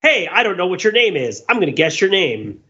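A man talking casually as a podcast host, animated and rambling, often halting mid-thought. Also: high voice, highs cut off. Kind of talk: casual discussion of old television.

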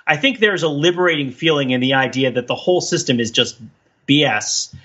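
Male podcast co-host, mid-pitched and bright. Discursive, casual, thinks out loud. Slightly lo-fi sound. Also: a little fast. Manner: discursive, thinking aloud, often tags points with "right?".

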